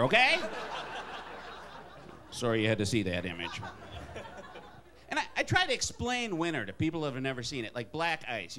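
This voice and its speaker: A man from Wisconsin doing stand-up in a comedy club, with a nasal Wisconsin accent and an animated delivery full of put-on voices. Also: a little high. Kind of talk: stand-up set. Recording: live club with crowd and noise. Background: audience laughter.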